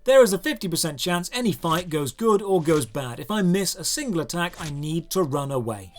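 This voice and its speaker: A man's voice: fairly high pitch, lively intonation, brisk. A man narrating a scripted video game review in a dry, sarcastic way, with touches of theatrical emphasis.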